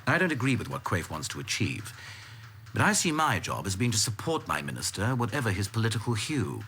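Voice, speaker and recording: An actor playing a sober, rule-bound senior civil servant, formal and deliberate, in a radio drama. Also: male voice, lowish voice, sing-song, pushed and bright, slight background noise, heavily acted. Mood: reproving. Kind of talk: naturalistic radio drama acting.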